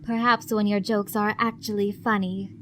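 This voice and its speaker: Stern madam, female actor: mid-pitched, proper, dry and reproving, radio-play delivery, slight noise. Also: level tone, moderate pace, fairly bright tone, lightly acted. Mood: dry reproach. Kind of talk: audio drama acting.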